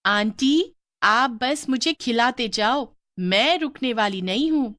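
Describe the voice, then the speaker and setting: Woman doing a schoolgirl, bubbly, eager, high voice, storybook telling. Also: animated, slow pace, fairly bright tone, dry recording, clean studio sound. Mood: excited. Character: cheerful schoolgirl who loves street snacks.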